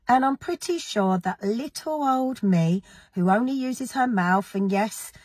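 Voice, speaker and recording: A woman, her voice gravelly after an illness, talking indignantly and emphatically straight to camera in a vlog. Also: a little high, animated, unhurried, a little mellow, no room sound. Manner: indignant, emphatic, addressing critics directly. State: gravelly, recovering from illness, with a cough. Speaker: activist vlogger.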